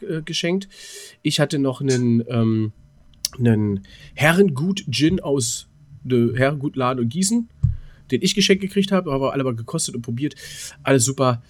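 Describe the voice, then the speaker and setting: A man's voice: mid-range voice, no room sound. A man of twenty-seven chatting casually as a podcast co-host, rambling through anecdotes and repeating words as he searches for them. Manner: rambling, stuttering word repeats. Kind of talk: casual chat, telling anecdotes.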